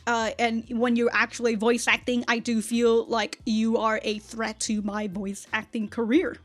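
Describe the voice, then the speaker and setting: A woman hosting a casual anime reaction and review, speaking in an animated, exclamatory way and often chuckling as she talks. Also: a little high, a little slow. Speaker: reaction video host.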